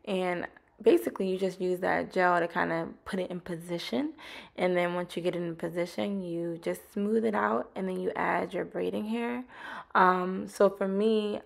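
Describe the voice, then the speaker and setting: A young woman with a raspy voice, chatting casually through a hair tutorial at home, with lots of ums. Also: lowish voice. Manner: relaxed, chatty, peppered with ums. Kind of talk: casual how-to narration. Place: home bathroom, indoors.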